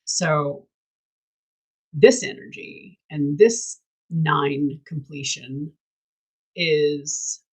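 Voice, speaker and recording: Woman, host, husky voice, blunt, emphatic, swears casually. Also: low voice, extremely slow, pauses often, darkish tone, poor audio. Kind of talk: candid casual chat.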